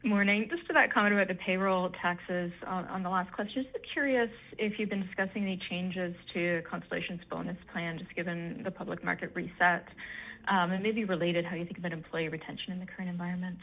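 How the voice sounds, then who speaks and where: A woman's voice: on the low side, fairly even intonation, a little fast, a little hiss or hum. A woman putting questions to executives as an analyst on an earnings call. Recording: thin, tinny phone line.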